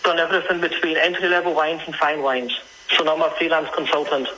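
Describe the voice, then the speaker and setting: A man with a fairly high, bright voice speaks as an expert guest on a radio phone-in. He explains things casually over a call with faint noise. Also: flat delivery, quick pace, low-quality recording. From Germany, Scottish family. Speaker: radio guest, freelance wine consultant and sommelier. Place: remote call to a radio show.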